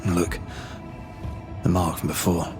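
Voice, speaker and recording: A stern male investigator of ghosts in a dramatic game scene. He talks slowly and bluntly in a middle-pitched voice, with music clearly playing behind him. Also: flat delivery. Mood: grim deduction. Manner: measured, blunt. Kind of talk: video game drama acting.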